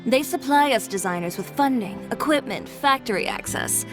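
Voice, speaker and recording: An actress playing a confident, brisk young female fashion designer in a video game, matter-of-fact and dryly firm. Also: sits high, lively intonation. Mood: businesslike, ambitious. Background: background music.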